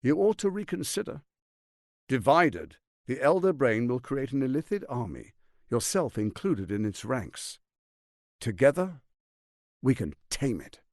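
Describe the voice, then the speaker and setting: A male actor playing a suave, scheming, power-hungry lord in a video game, with a theatrical, measured, silky politician's delivery, ruthless and charming. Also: mid-range voice, dry recording, clean studio sound. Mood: persuasive, cool. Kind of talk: dramatic video game voice acting.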